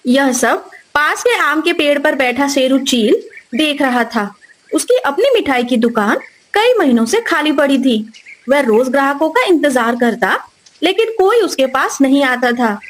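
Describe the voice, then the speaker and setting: A woman's voice: sits high, expressive, measured pace. A woman narrating a scripted moral story for children as the storyteller.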